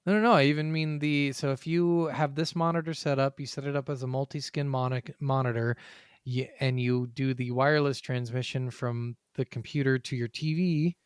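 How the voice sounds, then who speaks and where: A man hosts a gaming podcast in a casual, blunt way. His mid-range voice is smooth and clear, recorded very dry. He talks like he is chatting with a friend and swears freely. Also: medium pitch, little pitch movement, normal speed, dry recording. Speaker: podcast host.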